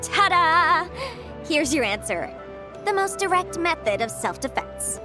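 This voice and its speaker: A woman playing a cheerful young legal consultant in a video game, bubbly, chatty and expressive. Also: very high voice, unhurried, music in the background, full character voice. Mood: chatty, upbeat. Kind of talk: animated video game voice acting.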